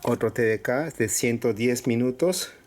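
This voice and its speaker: A man casually presenting items to viewers in short, halting phrases with pauses. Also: mid-range voice, a little mellow. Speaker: collector showing cassettes for sale. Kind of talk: casual product showcase. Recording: clear background noise with clicks.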